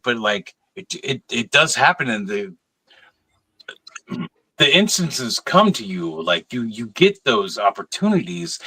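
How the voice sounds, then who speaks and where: Dry close sound, animated guy chatting casually, keeps repeating phrases. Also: medium pitch, no echo, rough recording. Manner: halting, repeats phrases for emphasis. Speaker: podcast panel participant. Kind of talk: casual podcast chat.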